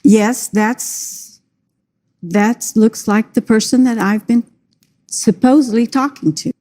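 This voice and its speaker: A woman's voice: fairly high pitch, slow pace, warm tone. A woman as a talk show guest, answering in a hesitant, defensive way that trails off. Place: tv studio.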